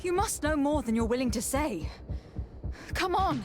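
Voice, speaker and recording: A woman's voice: high-pitched, lively intonation, moderate pace, background noise. A woman playing a resolute, commanding noblewoman investigator in a video game, speaking formally and with assurance. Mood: stern.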